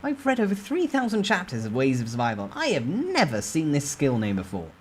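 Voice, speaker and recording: A man's voice: a little high, huge pitch swings, brisk, slight background noise. A man giving a dramatic first-person storytime reading of a novel. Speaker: narrator reading a novel aloud.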